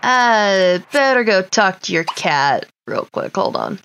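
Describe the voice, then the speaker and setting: A woman's voice: medium pitch, very animated, slow, vocal fry, fairly bright tone, slight background noise. A woman giving casual let's play commentary, chatting to the game's characters and muttering to herself with casual swearing. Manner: talks to the game characters, muttering to self. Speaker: gaming video host.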